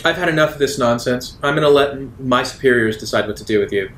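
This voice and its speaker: A man's voice: medium pitch, fast talker, a bit bright, natural voice. A man acts out a stern female security officer in a sci-fi tabletop game. The officer is curt, haughty and dismissive. The room is echoey, with faint noise. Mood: cold, dismissive.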